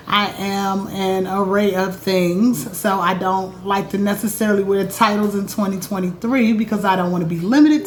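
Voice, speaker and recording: A woman talking casually to her viewers about astrology from her home, chatty and animated, calling them y'all. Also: medium pitch. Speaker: astrologer and vlog host. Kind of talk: casual spiritual vlog talk.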